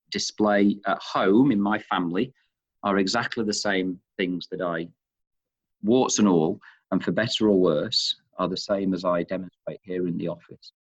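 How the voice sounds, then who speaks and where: A man from Manchester with a Northern English accent, answering interview questions in a thoughtful, halting way, restarting phrases as he goes. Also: low voice. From Manchester, England. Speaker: interview guest, chief executive of leadership institute. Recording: dull, muffled lo-fi audio.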